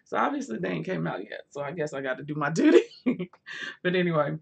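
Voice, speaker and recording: A woman hosting a live book chat from home on her phone, chatty and quick to laugh, with a voice that is going hoarse. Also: sits low, sing-song. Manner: chatty, laughs often, rambling asides. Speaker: book vlogger hosting a livestream. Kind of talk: casual live chat with viewers.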